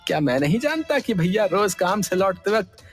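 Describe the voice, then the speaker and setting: A man voicing a touchy, resentful middle-aged brother in a cartoon, sulky and quick to take offence. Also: high voice, very animated. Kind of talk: cartoon voice acting. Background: background music.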